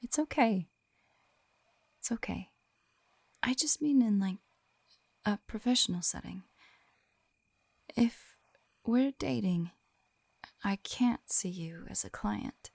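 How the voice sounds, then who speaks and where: A young woman playing a gentle, shy lamia on a first date in an intimate ASMR roleplay, soft-spoken and often whispering, hesitant and tender, close to the microphone. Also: expressive, slow pace, pauses often, dry recording, full character voice. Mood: soothing, affectionate. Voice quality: soft, breathy whispering mixed with low murmurs. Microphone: close-up microphone, dry sound.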